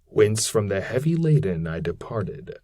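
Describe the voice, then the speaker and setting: A man reading epic poetry aloud from a script in a solemn, measured, deliberate way. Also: lowish voice, sing-song, warm tone, no room sound. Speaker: reader of classic poetry.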